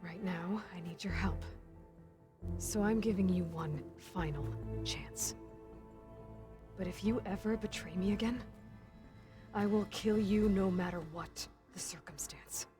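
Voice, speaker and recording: A woman acts as a determined young female warrior in a video game, her voice on the low side. She speaks bluntly and drily, like a guarded leader used to giving orders, with music behind her. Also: a little slow, close to an everyday voice. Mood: threatening, stern. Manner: blunt, dry, commanding. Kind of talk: naturalistic game acting.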